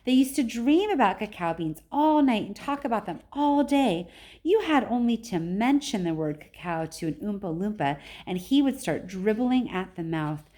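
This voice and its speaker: Storybook role: proud, excitable male factory owner, theatrical and very animated female reader. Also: medium pitch, normal speed, natural voice. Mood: dramatic, emphatic.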